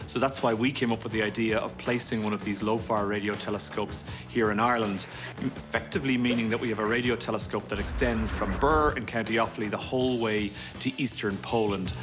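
A man's voice: on the low side, a little fast, music in the background. A man giving an explanation of a science project in a documentary interview style.

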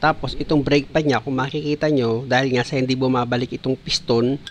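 A man's voice: medium pitch, little pitch movement, brisk, a little hiss or hum. A man, a mechanic narrating a do-it-yourself brake repair and explaining each step to viewers. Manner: explanatory, calls viewers "mga boss". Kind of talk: DIY repair tutorial voice-over.

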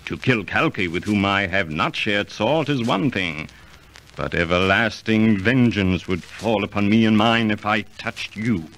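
An actor playing a proud, disdainful assassin in an old radio drama, with terse, formal archaic speech. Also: male voice, fairly low pitch, lively intonation, unhurried, a bit bright, poor audio. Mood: solemn, assured. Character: scornful, proud assassin bound by an ancient code. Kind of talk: golden-age radio drama acting. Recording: old radio recording with faint noise.

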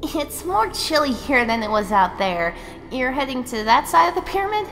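Woman voicing a determined, expressive fox researcher. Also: high-pitched, moderate pace, in a large hall, soft background music, exaggerated voice, poor audio. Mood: bossy. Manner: firm, bossy. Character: stern, curious fox researcher, bossy and determined. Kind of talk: scripted comic dub acting.

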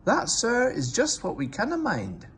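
Man putting on a high cheeky Scottish steam engine. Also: wildly swooping pitch, measured pace, slight background noise, full character voice. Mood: pained, solemn. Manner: mock-innocent, respectful but cheeky.

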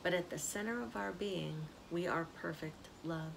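An older woman, a community minister, giving a gentle spiritual reflection on an outdoor porch, filmed by a camera close up. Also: fairly low pitch, vocal fry, background noise. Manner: slow, soft-spoken, reflective. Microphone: camera at close range. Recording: outdoor ambience audible behind.

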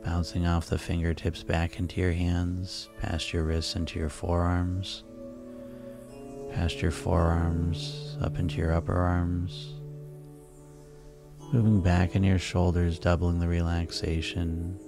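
A man speaking as a hypnotist, guiding listeners into sleep in a soothing, unhurried, repetitive way over music. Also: sits low, monotone, gravelly. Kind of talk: guided sleep hypnosis narration. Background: relaxing background music.

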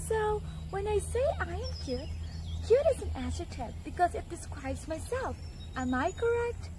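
A tiny, cheerful animal puppet for a children's lesson. The voice is squeaky and extremely high, with lively swings in pitch. It sounds cutesy and friendly, and there is clear noise behind it. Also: female voice, normal speed. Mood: friendly, explaining. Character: cheerful young female rabbit and mouse puppets, friendly and eager. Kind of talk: scripted educational puppet show.

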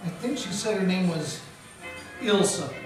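A man narrating and playing a wisecracking hardboiled detective in a live 1940s radio play, deadpan. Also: high voice, unhurried. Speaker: narrator and lead actor. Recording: echoey hall from far off with music.

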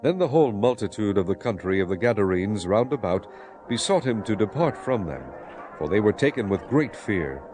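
Male narrator, low pitch, animated intonation, dramatized scripture reading. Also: moderate pace, faint music behind.

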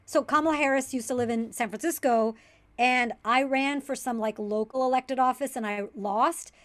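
A woman comedian whose first language is Tamil, telling stories with lively energy in a casual interview over a video call. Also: high-pitched, moderate pace. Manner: lively, animated storyteller. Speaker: comedian guest.

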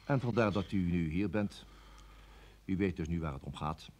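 An actor playing a cool, authoritative security service interrogator in a radio play, formal, measured and curtly polite. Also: male voice, sits low, animated, darkish tone. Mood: measured, accusing. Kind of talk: Dutch radio play acting.

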